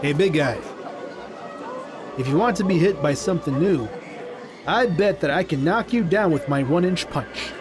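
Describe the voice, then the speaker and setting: A man's voice: fairly high pitch, expressive, lightly acted. A man playing a composed, courteous, confident martial artist mentor in an audio drama. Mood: confident, challenging. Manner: measured, approving.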